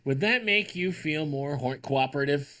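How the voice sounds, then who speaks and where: A man's voice: fairly high pitch, moderate pace, sharp tone, voice pushed down. A man voicing a scheming, menacing villain prince in a hammy way, in a comic script read. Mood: scheming, coaxing. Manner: hammy, shifting accents.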